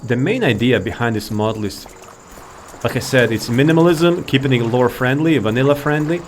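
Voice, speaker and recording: A man's voice: medium pitch, moderate pace, slight background noise. A man giving casual, rambling gameplay commentary, laid-back and thinking aloud. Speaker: gaming video creator.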